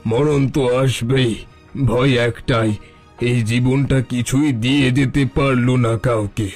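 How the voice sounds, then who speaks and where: A man's voice: mid-range voice, fairly even intonation, a little slow, darkish tone, faint music behind. An actor playing an older, repentant bandit chief in a cartoon, speaking in a solemn, reflective, fatherly way. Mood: solemn regret. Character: dying old bandit chief, repentant and tender. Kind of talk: Bengali cartoon story dialogue.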